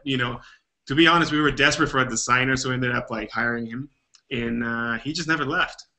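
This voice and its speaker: A man from Bolivia talks as a guest in a casual interview, his voice gravelly with a fry at the edges. His tone is bright, and he rambles through his stories, restarting and circling back. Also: mid-range voice. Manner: rambling, many restarts and fillers. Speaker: game developer guest.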